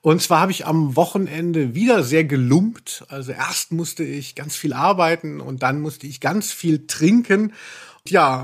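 A man's voice: a little high, husky. A man co-hosting a podcast in casual chat, with a wry, self-deprecating, ironic manner. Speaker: podcast co-host.

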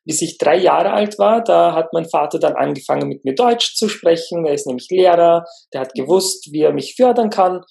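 A man from Lower Austria, a speech therapist talking as a podcast guest, pausing often with fillers; his is Turkish. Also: creaky. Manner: hesitant, many fillers, relaxed. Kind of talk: conversational interview.

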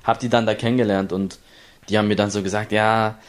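A man's voice: fairly low pitch, flat delivery, quick pace. A young man from Berlin, an esports player, tells his story in a casual interview, rambling with many restarts and acting out what others said.

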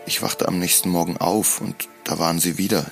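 A man narrating a scripted first-person audio drama in a solemn, deliberate storytelling manner. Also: deep voice, fairly even intonation, gravelly, soft background music. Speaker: podcast host.

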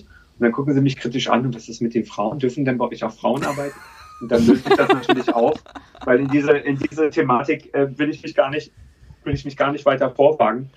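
A man's voice: mid-range voice, breathy edge, dull audio. A man in his thirties from Berlin, a podcast guest telling his story in a chatty way with many fillers. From Berlin, Germany. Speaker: podcast guest, charity campaign manager. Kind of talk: casual conversational interview.

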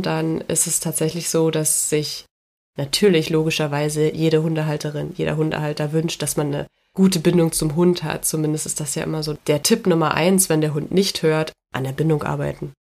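A woman's voice: low-pitched, brisk. Stuffy-nosed woman hosting a dog podcast. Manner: relaxed, chatty, laughs easily, thinks aloud. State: has a cold, stuffy nose. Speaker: dog trainer and podcast host. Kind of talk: casual podcast chat.

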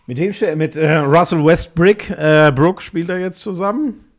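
A man's voice: a little high, normal speed. A man co-hosting a sports podcast, joking and ribbing his partner in casual chat and laughing often. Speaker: podcast co-host.

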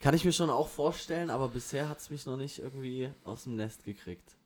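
A man talking in a casual chat, rambling in relaxed slang with many fillers, recorded in an apartment kitchen. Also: mid-range voice, a little fast. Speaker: rapper guest.

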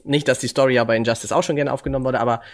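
A man's voice: mid-range voice, steady tone, rapid-fire. A man co-hosting a podcast in casual chat, slangy and dropping in English words. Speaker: podcast co-host. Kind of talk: casual chat about games and comics.

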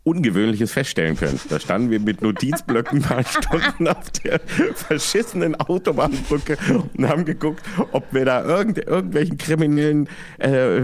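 A man telling an anecdote casually in a halting, rambling, self-mocking way. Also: medium pitch. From Germany.

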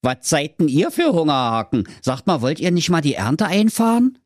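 A brash, squeaky Berlin rascal. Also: male voice, very animated, normal speed, no room sound, studio quality. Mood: cheeky. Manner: brash, cheerful, slangy. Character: cheeky cocky Berlin man on a quest.

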